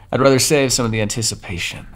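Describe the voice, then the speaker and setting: A man voicing a centuries-old male vampire in an ASMR audio roleplay, taunting and mocking the listener he calls dearie. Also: natural voice. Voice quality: low gravelly voice, husky.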